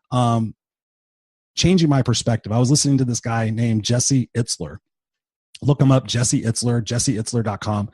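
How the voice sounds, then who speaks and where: A man in his fifties gives an unscripted motivational talk, blunt and sprinkled with swearing, leaning hard on repeated emphasis. His voice is husky and a little worn from being sick and tired, sitting at an everyday middle pitch. The sound is dry and close, with no room around it. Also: warm tone, no echo. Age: 50s. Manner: blunt and profane, emphatic repetition. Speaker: motivational podcast host.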